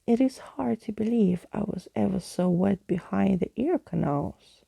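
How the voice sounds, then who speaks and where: A woman voicing a fretful, pompous living skeleton in a softly read storybook, with wordy, indignant old-fashioned phrasing. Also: mid-range voice, a little slow, gravelly, slightly rough, no room sound, exaggerated voice.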